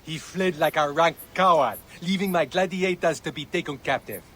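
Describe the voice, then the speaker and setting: An actor playing a scornful, blustering owner of gladiators in a video game, with an indignant, commanding manner. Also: male voice, fairly high pitch, normal speed, bright, forceful tone, slight background noise. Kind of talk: dramatic game acting.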